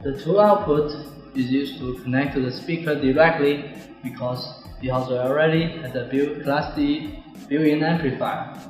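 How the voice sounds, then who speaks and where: A man's voice: on the high side, moderate pace, a little mellow. Echoey young man with presenting. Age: 20s. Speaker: product presenter. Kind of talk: product demonstration, scripted narration. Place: bare indoor office or classroom. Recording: very echoey room, music behind.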